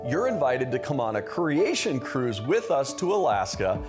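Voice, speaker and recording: A man presenting a promotional advertisement, speaking straight to the listener as he pitches an invitation. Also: sing-song, normal speed. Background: guitar music.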